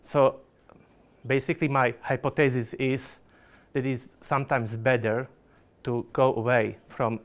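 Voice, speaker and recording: A man's voice: medium pitch, level tone, unhurried. A Slovak man, a product manager, giving a conference presentation in careful non-native phrasing full of fillers and restarts.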